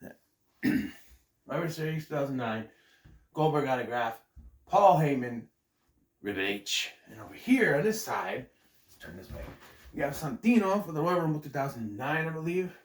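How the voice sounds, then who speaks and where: Casting: man host getting over a cold, a little nasal, chatty and self-interrupting, lively pitch, echoey room at home. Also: moderate pace, pauses often. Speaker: host of a wrestling review channel. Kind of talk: casual rambling vlog intro.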